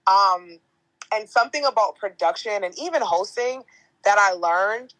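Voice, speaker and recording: A woman's voice: lively intonation, a little slow, fairly bright tone, dry recording, low-quality recording. A young woman on a podcast, a chatty entertainment host who laughs often and tells stories in slangy, casual talk. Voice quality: deeper natural voice. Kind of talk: casual interview chat.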